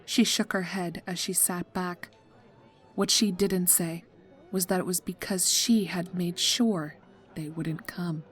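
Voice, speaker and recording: A woman's voice: on the low side, steady tone, takes it slowly. A woman reading scripted story narration for a fan fiction audio drama. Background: music.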